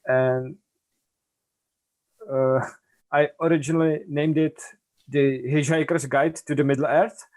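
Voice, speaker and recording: Slow, hesitant Czech-accented man. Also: on the high side, steady tone, a little mellow. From northern Czech Republic. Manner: hesitant, halting phrasing. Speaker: podcast guest, artist. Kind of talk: casual interview talk.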